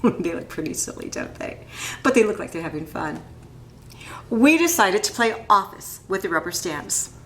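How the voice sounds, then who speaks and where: Female storyteller, picture-book read-aloud, highly animated intonation, dramatic delivery, roomy echo. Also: normal speed, a little hiss or hum. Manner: animated, dramatizes dialogue, asks listeners questions. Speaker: instructional coach reading aloud to children. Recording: echoey room.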